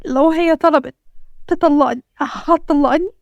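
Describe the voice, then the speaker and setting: A woman's voice: high-pitched, normal speed, gravelly, no echo, dull audio, voice pushed up. A woman with an Egyptian accent voicing a hurt, bitter young wife who fires off accusing, pleading questions. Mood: anxious. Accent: Egyptian Arabic.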